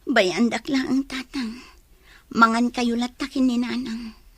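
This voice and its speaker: Woman playing sad regretful young ilocano daughter, really high husky voice, plaintive, radio drama. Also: little pitch movement, unhurried, a bit bright, no room sound. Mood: downcast. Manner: downcast, plaintive. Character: despondent young pregnant village daughter, regretful.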